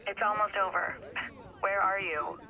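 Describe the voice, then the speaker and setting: A woman's voice: medium pitch, unhurried, crowd noise, rough recording. A woman voicing an exasperated but affectionate sister in a game, chiding fondly over the phone. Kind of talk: game phone-call dialogue.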